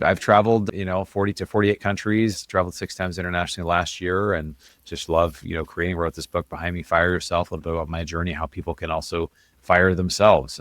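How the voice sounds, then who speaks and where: A man with a very deep voice talks as an interview guest. His delivery is quick and casual, like relaxed storytelling in conversation. The sound is dry and close, with no room echo. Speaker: interview guest, investor and author.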